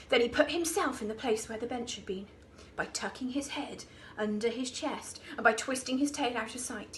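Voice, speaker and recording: A woman reading a children's story like an infant school teacher, expressive and with dramatic emphasis. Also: on the high side, a little hiss or hum.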